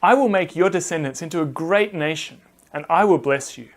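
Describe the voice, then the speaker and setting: A man's voice: a little high, a little fast, close to an everyday voice. Man voicing a solemn, commanding God. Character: God, solemn commanding male deity making promises. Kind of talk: Bible storytelling for children.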